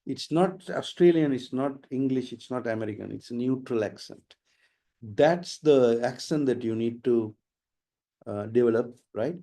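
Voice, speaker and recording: A man's voice: mid-range voice, measured pace, mellow. A middle-aged man with a slight Sri Lankan accent, giving an explanatory IELTS webinar lecture and dropping in Sinhala phrases. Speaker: IELTS trainer.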